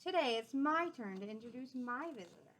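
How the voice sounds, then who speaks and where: A woman reading a picture book aloud to young children in an expressive, teacherly way, with simple explanatory asides. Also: a little high, brisk, slight background noise, low-quality recording.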